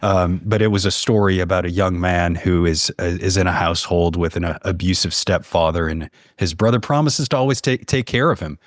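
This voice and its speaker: A man from the United States talks casually as a guest, in storytelling mode. His voice is deep and recorded dry. He hesitates and searches for words as he goes. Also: brisk, hardly any pauses, dry recording. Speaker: podcast guest, horror fiction writer.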